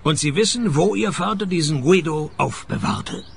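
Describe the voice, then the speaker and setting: An actor playing a laconic male private detective, narrating his case in a dry, deadpan radio play style. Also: a little high, normal speed, vocal fry, slightly rough.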